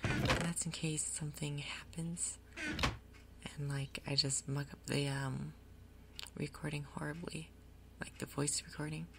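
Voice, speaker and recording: A woman giving soft-spoken ASMR game commentary in a breathy, gentle, unhurried way. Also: low-pitched, level tone, very noisy. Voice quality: breathy, whispery. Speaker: gaming video host.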